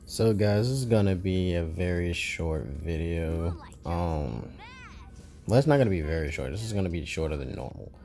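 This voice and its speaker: A man giving relaxed, rambling commentary over his card game matches, often correcting himself mid-sentence. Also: low voice, moderate pace, vocal fry, faint music behind. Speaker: gaming YouTuber. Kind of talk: casual gameplay commentary.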